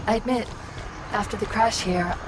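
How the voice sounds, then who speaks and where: A woman playing a calm, idealistic artificial intelligence in a video game, explaining things in a measured, reassuring way. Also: medium pitch, background noise, close to an everyday voice. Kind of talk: cinematic video game acting. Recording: music behind the voice.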